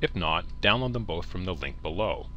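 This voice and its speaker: Man narrating a tutorial with very lively pitch. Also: moderate pace, lo-fi sound, slight background noise. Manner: instructional, plain-spoken, addresses viewer directly. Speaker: tech tutorial presenter. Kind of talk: step-by-step software tutorial narration.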